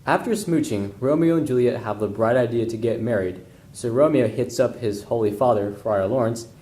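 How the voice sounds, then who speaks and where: Male narrator for comic puppet tale: mellow, mid-pitched, slangy scripted delivery, echoey room. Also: normal speed.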